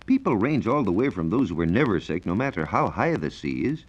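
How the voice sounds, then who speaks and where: An American man working as a science reporter gives a formal, measured, scripted explanation in the style of 1940s educational radio. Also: on the low side, sing-song, slightly rough. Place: radio studio. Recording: dull, lo-fi 1940s radio recording.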